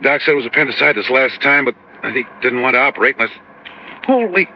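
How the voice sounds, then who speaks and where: A man's voice: mid-range voice, lively intonation, slight background noise, natural voice. A man playing a hearty, folksy cargo pilot struggling with pain in a 1950s radio drama, on a dull old recording. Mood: wincing. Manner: folksy, halting, gasping.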